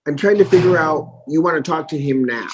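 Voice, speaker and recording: A man's voice: fairly high pitch, fairly quick, slight background noise. A man presiding as a judge, talking informally and plainly from the bench in a courtroom.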